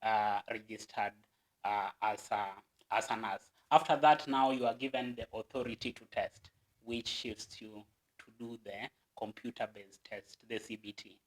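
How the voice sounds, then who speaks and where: A man's voice: fairly low pitch, steady tone, a little slow, rough recording. A Kenyan man, a guest, explaining a process earnestly and at length, with stammered restarts.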